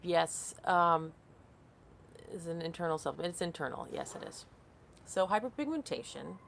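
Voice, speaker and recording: A woman's voice: lowish voice, animated, normal speed, gravelly, a little hiss or hum. Rambling woman in her thirties. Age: 30s. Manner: rambling, reads comments aloud and loses her train of thought. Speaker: skincare vlogger hosting a live stream. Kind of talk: casual live-stream chat, answering viewer comments.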